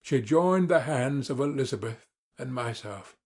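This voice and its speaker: A man reading classic literature as a dramatic audiobook narrator, formal, measured and earnest. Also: medium pitch, expressive, a little mellow, breathy edge.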